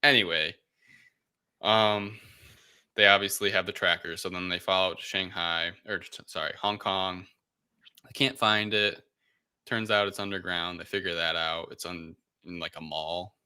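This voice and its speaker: A man's voice: deep voice, fairly even intonation, a little slow, sharp tone, clean tone. A man co-hosting a casual film discussion, recapping in a rambling, offhand and irreverent way. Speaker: podcast co-host recapping a film.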